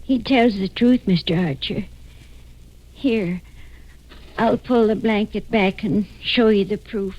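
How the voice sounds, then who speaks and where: An actress playing an anxious mother who pleads haltingly to protect her fugitive grown son, in 1940s radio drama style. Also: mid-range voice, slight background noise, close to an everyday voice. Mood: protective, earnest. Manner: halting, distressed, earnest pleading. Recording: dull lo-fi vintage radio recording.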